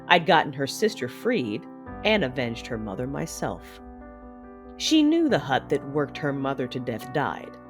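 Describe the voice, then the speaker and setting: A woman's voice: rumbling low, heavily acted. A woman playing a fierce, conflicted Sith woman who narrates a sci-fi audio drama with controlled, brooding drama. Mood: brooding reflection.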